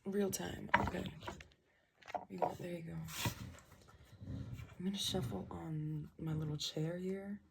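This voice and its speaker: A woman's voice: lowish voice, fairly even intonation, a little slow, vocal fry, pauses often, heavy background noise. A young woman doing a casual tarot reading from home in the early morning, rambling and trailing off, with birds chirping outside. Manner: rambling, trailing off, self-interrupting. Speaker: tarot reader. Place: small home room at night, birds outside.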